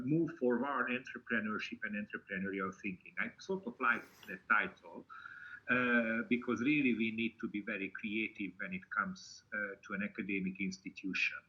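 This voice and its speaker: A man from Hungary with a Hungarian accent, giving an online seminar presentation with frequent hesitant fillers over a video call. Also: medium pitch. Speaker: guest speaker, lecturer. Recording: dull lo-fi video call audio.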